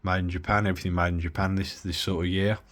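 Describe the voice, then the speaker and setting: Deep-voiced man, casual hobby demo, halting delivery, faint hiss. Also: little pitch movement. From Midlands of England. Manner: halting, many fillers and restarts. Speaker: radio hobby vlogger.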